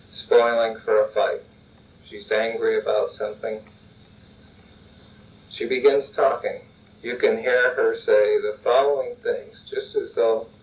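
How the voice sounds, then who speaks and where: A man with a lowish voice leads a guided relaxation exercise, calm and instructive. He keeps returning to telling the listener to relax. The recording is lo-fi and dull, and he sounds a little distant from the mic.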